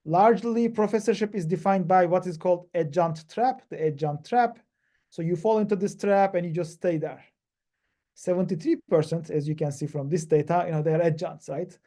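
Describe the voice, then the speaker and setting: Guy from turkey presenting on a video call, kinda high voice, mellow, lots of uh and you know. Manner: halting, many fillers and restarts. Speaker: PhD panelist. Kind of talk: webinar presentation.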